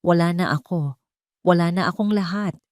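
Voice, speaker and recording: A woman's voice: fairly low pitch, moderate pace, darkish tone, dry recording, studio quality, close to an everyday voice. A woman voicing a wounded, guilt-ridden rich son in an audio love drama, anguished and pleading. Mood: despairing.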